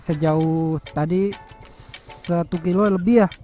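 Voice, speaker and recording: A high-pitched man talks casually into a helmet intercom headset. He explains things like a motovlogger reviewing gear, with faint music behind him. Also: steady tone, takes it slowly, dark tone. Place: outdoors, riding a motorbike on the road.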